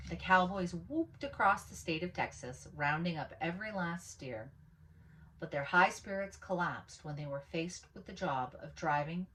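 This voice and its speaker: A woman reading a picture book aloud as a storyteller, with animated, dramatic emphasis on key words. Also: low-pitched, slight background noise, rough recording. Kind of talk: expressive children's story read-aloud.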